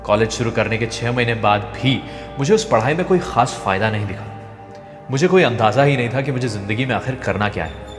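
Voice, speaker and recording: A man reading a scripted motivational story in a measured, storytelling way. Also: on the low side. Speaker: narrator. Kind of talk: scripted motivational narration of a translated speech. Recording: echoey, long reverb, music behind. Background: background music.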